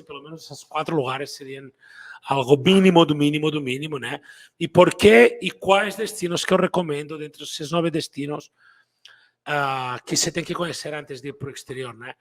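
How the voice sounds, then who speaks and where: This is a middle-aged man who learned Portuguese in Brazil, so he speaks it with a non-native, Brazilian-tinged accent. His voice sits a bit high and gravelly, with a husky edge. He talks casually to his audience, circling back, repeating himself and tagging sentences with "né?". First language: not Portuguese. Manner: rambling, repeats words and restarts, frequent "né?". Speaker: travel club presenter. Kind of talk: casual talk to viewers.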